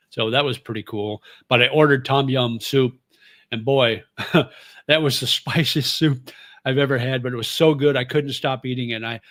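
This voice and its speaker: A man's voice: mid-range voice, fairly bright tone, no echo. Middle-aged man, ex-radio host, casual livestream chat, rambling and easygoing, dry close sound. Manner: rambling, easygoing, frequent fillers and chuckles. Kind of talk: casual live chat reading viewer comments.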